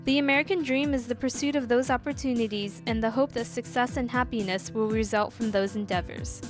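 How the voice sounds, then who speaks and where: A woman reads documentary narration in a somewhat high, measured voice. Clear music runs under her words. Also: fairly even intonation. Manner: smooth, measured.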